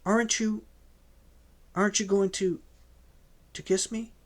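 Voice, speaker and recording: A woman's voice: mid-range voice, steady tone, unhurried, voice pushed up, close to an everyday voice. A man reading an audiobook voices a desperate young woman who pleads through sobs and stammers. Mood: tearful, pleading. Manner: sobbing, stammering pleas.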